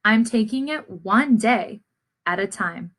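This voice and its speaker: A woman reading as a storybook seed, a sullen male character trying to reform, in a deliberate, confessional way. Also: fairly high pitch, animated, a bit bright, full character voice. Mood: hopeful, earnest.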